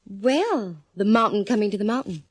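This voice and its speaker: An actress playing a sassy, wisecracking young woman private eye in a 1940s radio drama, teasing and quick with comebacks. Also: medium pitch, lively intonation, no echo, lightly acted. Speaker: private eye lead and narrator. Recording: old radio broadcast with faint hiss.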